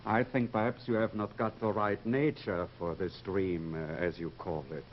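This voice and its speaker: A man playing a suave, cultured composer in a vintage radio play. His voice sits fairly low and speaks with theatrical polish. The old recording is dull, with faint noise. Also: fairly even intonation, normal speed, close to an everyday voice. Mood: musing. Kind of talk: old-time radio drama acting.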